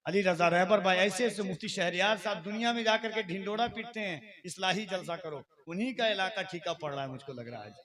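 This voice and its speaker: Man, high voice, religious stage oratory, declamatory, admonishing. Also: brisk, few pauses, crowd noise. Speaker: speaker at a religious gathering.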